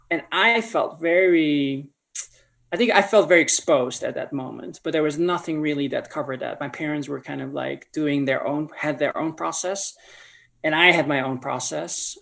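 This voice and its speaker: Higher-voiced man telling his story. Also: gravelly, a bit bright. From Netherlands. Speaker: podcast guest, ministry leader. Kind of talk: personal storytelling in an interview.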